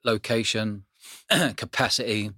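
A man's voice: lowish voice, slow pace, bright, forceful tone, no room sound, studio quality. A man with an English accent hosting a casual interview, putting short, probing questions to a guest. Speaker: podcast host.

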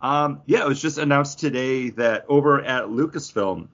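A man's voice: mid-range voice, normal speed, a bit bright. A man co-hosting a podcast, giving his opinions in casual conversation. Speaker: podcast co-host. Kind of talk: casual chat and opinion on films and TV. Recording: dull lo-fi sound.

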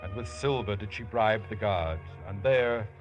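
A man's voice: fairly low pitch, measured pace, highs cut off. A man giving solemn, biblical-style scripted narration for a 1950s radio drama. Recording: old radio broadcast over music.

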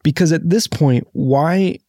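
A man's voice: a little high, unhurried, warm tone, no room sound. Inquisitive man chatting on a dry mic. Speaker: film podcast co-host. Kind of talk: casual film chat.

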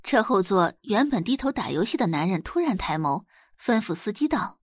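Female audiobook narrator, expressive scripted storytelling, very varied intonation, close dry sound. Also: medium pitch, moderate pace, breathy edge, no echo.

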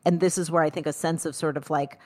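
A middle-aged woman co-hosting a podcast in casual conversation, thinking aloud in quick bursts with frequent restarts. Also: deep voice, steady tone, darkish tone. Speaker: podcast co-host.

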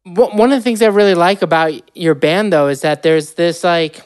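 A woman's voice: fairly low pitch, moderate pace, a little mellow, clean tone, dry recording, clean studio sound. A woman interviewing a guest in a chatty, casual way, often hesitating and restarting. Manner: chatty, hesitant, lots of fillers.

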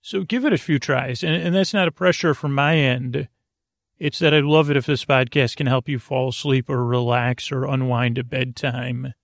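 A man hosting a sleep podcast in a creaky voice, delivering a soothing, meandering bedtime monologue that halts and restarts mid-phrase. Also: mid-range voice, steady tone, normal speed, no room sound, studio quality. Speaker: podcast host.

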